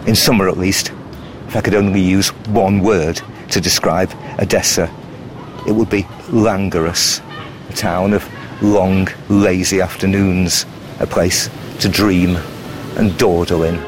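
A man with a very low voice narrates a documentary report. His delivery is measured and evocative, clearly scripted. Faint music runs beneath him. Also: fairly even intonation.

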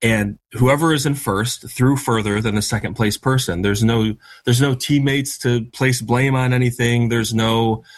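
A man's voice: medium pitch, steady tone, a little slow, few pauses. An American man in his thirties from Michigan, a guest in an interview, answering in an earnest, reflective way. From United States (Michigan). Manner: earnest, reflective, with fillers and restarts.

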